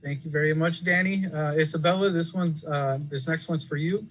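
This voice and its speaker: Man moderator, fairly high voice, polite, lots of uhs, faint noise behind. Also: fairly even intonation, moderate pace, rough recording. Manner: polite, hesitant, many fillers. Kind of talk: moderating a panel Q&A.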